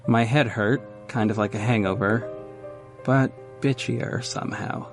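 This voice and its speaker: A man's voice: medium pitch, fairly even intonation, measured pace, natural voice. A man playing a wry, bewildered masked vigilante detective, narrating his own story in an audio drama with dry self-questioning. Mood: wry recounting. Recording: faint music under the voice.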